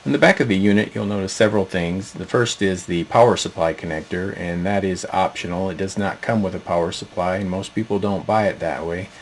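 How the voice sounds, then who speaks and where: A man's voice: low voice, fairly quick, few pauses, slight background noise. A man giving an instructional product demo narration in a plain, explanatory way. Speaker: product presenter.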